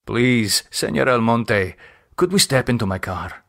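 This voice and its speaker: A man voicing a polite, formal male doctor in an audiobook, courteous and quietly menacing. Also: mid-range voice, a little slow. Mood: polite, insistent. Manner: polite, formal, hesitant smoothness.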